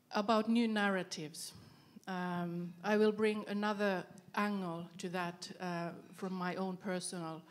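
A woman, speaking thoughtfully as a panelist into a handheld mic, with frequent filler pauses. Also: fairly low pitch. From Finland. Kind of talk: panel discussion. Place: event stage with panel tables, indoors.

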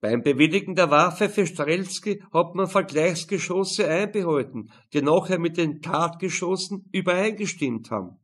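A man's voice: on the high side, expressive, moderate pace, hardly any pauses, voice pushed up. A man voicing a matter-of-fact veteran detective in an audiobook, explaining dryly.

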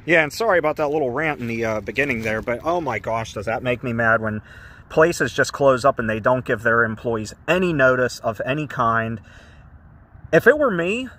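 A man's voice: medium pitch, fairly bright tone. Chatty man ranting outdoors. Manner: chatty, ranting, easily indignant. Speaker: urban explorer vlogger. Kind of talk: casual walk-around narration. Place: outdoors around an empty brick building.

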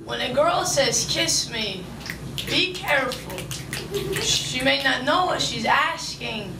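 High-voiced young woman performing spoken word. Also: animated, slow pace. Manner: rhythmic, emphatic poetic delivery. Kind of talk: spoken word poetry performance mixed with interview. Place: small club stage under red lights. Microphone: stage mic on stand. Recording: roomy live sound from a distance, noisy.

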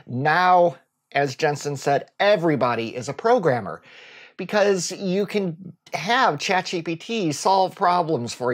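Middle-aged man, enthusiastic tech vlogger, casual talk to camera, home office. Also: fairly high pitch. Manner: enthusiastic, gestures with both hands while talking. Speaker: tech YouTuber.

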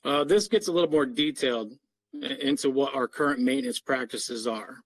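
A man's voice: mid-range voice, fairly quick, a bit bright, no room sound. A middle-aged man giving an explanatory, businesslike slide presentation as a parks maintenance official on a video call. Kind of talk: slide presentation to a board meeting.

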